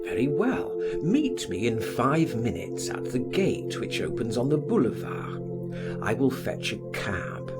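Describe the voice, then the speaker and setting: Man playing a smooth, insistent seducer, very animated. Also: medium pitch, unhurried, over loud music. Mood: coaxing. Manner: coaxing, firm, persuasive.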